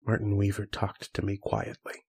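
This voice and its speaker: An actor playing a haunted, fearful scientist who narrates his ordeal in a dramatic, halting horror radio monologue. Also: fairly low pitch, monotone, a little mellow, breathy edge. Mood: hushed dread. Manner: dramatic, halting, repeating phrases.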